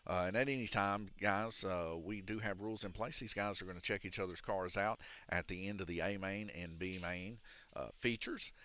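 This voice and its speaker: A man with a raspy, congested voice casually announcing an RC race at an indoor raceway, rambling through rules with lots of "uh" fillers. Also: deep voice, moderate pace, pushed and bright, dull audio. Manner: rambling and explanatory, with many "uh" fillers. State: congested from a chest cold. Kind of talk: casual live-stream commentary. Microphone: wired or wireless handheld mic.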